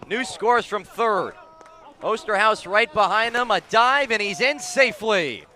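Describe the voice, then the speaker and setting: Bright-voiced male baseball play-by-play announcer. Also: high voice, unhurried. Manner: descriptive, rising excitedly on big plays. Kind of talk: live radio sports commentary.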